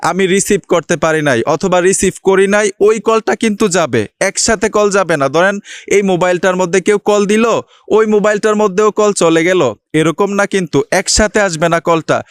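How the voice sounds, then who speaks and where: A Bangladeshi man with a notably high, clean voice presents a step-by-step tech tutorial. He instructs the viewer directly and keeps checking that they are following. The sound is very dry, with no room around it. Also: clean tone, no echo. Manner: instructional, repeatedly checks understanding.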